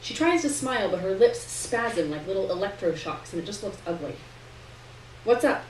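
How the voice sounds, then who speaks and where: A woman narrates literary fiction at a live reading, in a medium-pitched voice. She is picked up from a little way off in an echoey room, with noise behind her. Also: sing-song, fairly quick. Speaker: story reader. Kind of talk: literary narration read aloud to an audience. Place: live reading in a roomy indoor venue. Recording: roomy distant live recording, noticeable hiss.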